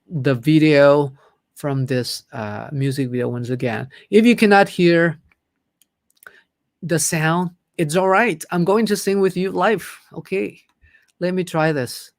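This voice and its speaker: A man's voice: a little high, dry recording. A man with a Thai accent, whose first language is Thai, hosting a casual livestream and chatting upbeat with viewers as he reads their comments aloud. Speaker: livestream host.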